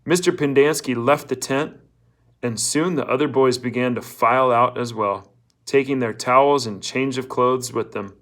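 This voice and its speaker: A man's voice: medium pitch, moderate pace, fairly bright tone. A man reading a novel aloud as a scripted story narrator.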